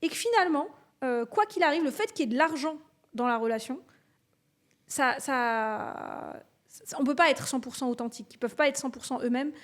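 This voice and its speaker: A woman's voice: high-pitched, normal speed. A woman who is an executive coach, hosting a casual podcast interview, chatty and thinking aloud as she builds her questions. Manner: conversational, frequent listening murmurs, thinks aloud.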